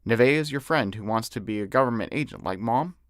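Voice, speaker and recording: Man playing a gentle, affectionate father. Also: medium pitch, fast talker, no echo, studio quality, lightly acted. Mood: fond, amused.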